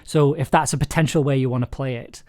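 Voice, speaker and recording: A man with a mid-pitched voice talks casually through a script as its director. He thinks aloud, halting and repeating words while he works out his explanation. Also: fairly even intonation, a little mellow. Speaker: audio drama writer and director hosting a livestream.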